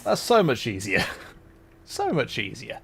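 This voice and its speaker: A man's voice: medium pitch, huge pitch swings, a little slow, gravelly, slight background noise. A man livestreaming a video game, chatting casually to viewers and rambling as he thinks aloud while playing.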